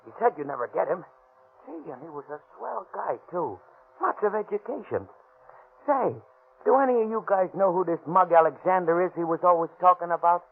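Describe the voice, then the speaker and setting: An actor playing a simple, agreeable convict sidekick with slangy speech, in 1930s radio crime drama on a noisy, thin recording. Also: male voice, high voice, animated, brisk, slightly rough, phone-quality sound, exaggerated voice. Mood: rattled.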